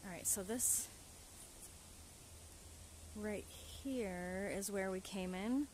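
A young woman vlogging outdoors in a forest, chatting casually to the camera in a relaxed, soft-spoken way as she thinks aloud. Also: mid-range voice, takes it slowly. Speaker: bushcraft vlogger. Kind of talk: casual vlog chat to camera. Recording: outdoor recording with clear background noise.

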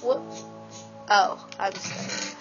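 Stuffy-nosed woman rambling over a game. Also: slow pace. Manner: rambling, excitable, talks to herself. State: stuffy nose. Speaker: let's play gamer. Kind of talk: casual game commentary. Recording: dull lo-fi with game music.

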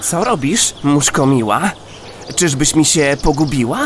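A man's voice: a little high, animated, measured pace. An actor voicing a friendly little male rat who talks in sing-song rhyme in a children's audio play. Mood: curious, friendly. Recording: noisy ambient sound behind.

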